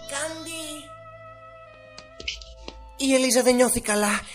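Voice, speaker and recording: A woman's voice: high voice, over music, close to an everyday voice. A woman voicing a young member of a wealthy household in an amateur anime dub. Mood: snide, bossy.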